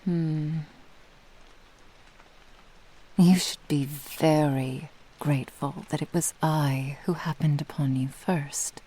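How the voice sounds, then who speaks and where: A woman playing a possessive, doting fox-spirit witch in an intimate ASMR roleplay, teasing in formal old-fashioned phrasing and often dropping into breathy whispers. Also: low-pitched, steady tone, takes it slowly, dark tone, low-quality recording. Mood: smug, protective. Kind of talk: ASMR roleplay, intimate scripted monologue to the listener.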